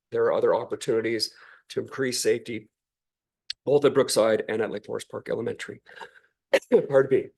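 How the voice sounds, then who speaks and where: Male council chair, deep voice, procedural and halting, hoarse and losing his voice. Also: a little mellow. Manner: measured, procedural, slightly halting. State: losing his voice, clearing throat. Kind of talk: formal meeting procedure.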